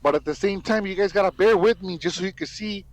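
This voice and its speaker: A man's voice: high-pitched, fast talker. A man making online videos, talking casually to viewers in slang with Spanish words mixed in. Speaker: YouTube content creator.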